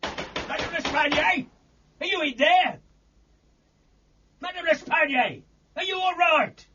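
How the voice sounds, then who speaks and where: An actor playing a grasping, hectoring landlord who complains in a raspy voice, in a period mystery drama. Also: male voice, very high voice, slow, muffled recording, noisy background. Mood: alarmed. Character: grasping, self-pitying landlord, a bullying grumbler.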